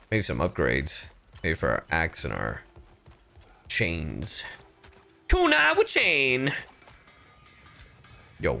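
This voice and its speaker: A man's voice: sits low, vocal fry, bright, forceful tone. A man doing casual, profane, jokey livestream commentary while he plays a game, sometimes reading aloud theatrically. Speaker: streamer, gamer.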